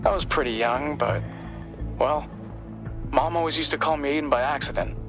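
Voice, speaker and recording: An actor playing a sharp young male hacker ally in a video game, conversational, probing and dry-witted. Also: fairly high pitch, normal speed, close to an everyday voice. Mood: wry, tentative. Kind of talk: dramatic game cutscene acting. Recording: music behind the voice.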